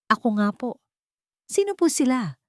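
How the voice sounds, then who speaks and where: A woman's voice: on the high side, very animated, normal speed, no echo, studio quality, pitched above the performer's own voice. A woman voicing a wary, guarded heroine of a family drama in an audio storybook, cautious and questioning. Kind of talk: audio storybook character dialogue.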